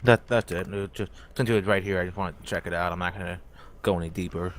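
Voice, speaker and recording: A man's voice: low-pitched, fairly even intonation, fast talker. A man playing a role-play game, talking casually and somewhat tentatively as he explains his choices.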